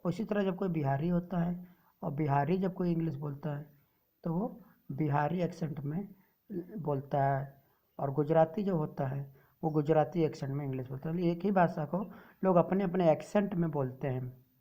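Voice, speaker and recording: A woman teacher explaining a textbook story to students, reading English lines slowly and then explaining them in Hindi. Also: sits low, muffled recording.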